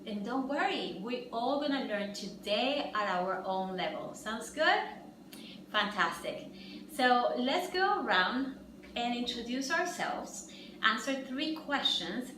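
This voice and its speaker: A woman's voice: a little high, sing-song, slow pace. A middle-aged woman from Ecuador, leading a fitness instructor training workshop in an upbeat, encouraging way and speaking directly to the group. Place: room with trainees. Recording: echoey room, mic far off, noisy.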